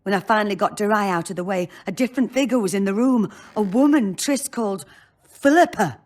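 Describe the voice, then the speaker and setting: An actress playing a blunt, earthy, world-weary brothel madam in a fantasy video game, speaking in short bursts. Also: female voice, mid-range voice, lively intonation, brisk, lightly acted. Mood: blunt, defensive.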